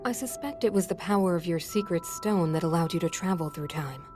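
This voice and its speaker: A woman's voice: lowish voice, a little fast, close to an everyday voice. A woman voicing a composed, scholarly ancient sage and inventor in a video game, precise and explanatory. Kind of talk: video game cutscene voice acting. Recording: music behind the voice.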